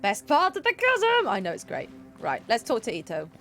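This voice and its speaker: A woman casually chatting and laughing while playing a game on stream, in a relaxed, playful way. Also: a little high, moderate pace, faint music behind. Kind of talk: casual gameplay commentary.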